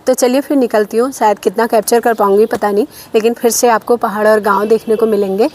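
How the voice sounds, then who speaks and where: A woman's voice: fairly high pitch, fairly even intonation, fast talker, a little mellow. A woman, chatting casually to camera as a vlogger. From Uttarakhand hills, India. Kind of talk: casual vlog chat to camera. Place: mostly outdoors, roadside and village. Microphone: phone at arm's length or clip-on lapel. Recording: faint outdoor background noise.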